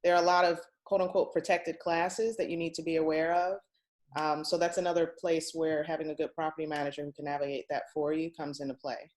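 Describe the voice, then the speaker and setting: A woman with a fairly low voice hosts an informal session. She talks conversationally, thinking aloud as she goes and adding her own comments. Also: flat delivery, a little fast, darkish tone. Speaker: host. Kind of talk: informal session hosting, relaying audience questions.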